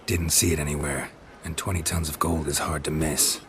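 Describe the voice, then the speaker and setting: An actor playing a gruff, sardonic monster hunter in a video game, terse and deadpan, with a gravelly voice. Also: male voice, very deep voice, moderate pace, slight background noise. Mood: deadpan, irritated.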